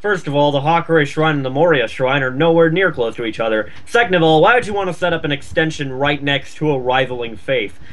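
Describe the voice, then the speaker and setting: A man's voice: a little high, fast talker, few pauses, fairly bright tone. A man casually reading slang-laden fan fiction aloud for comedy, with sarcastic asides.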